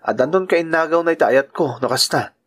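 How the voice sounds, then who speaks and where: A man's voice: a little high, brisk, fairly bright tone. A man with an Ilocano accent playing a good-natured bachelor uncle and devoted son in a radio-style drama. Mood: earnest.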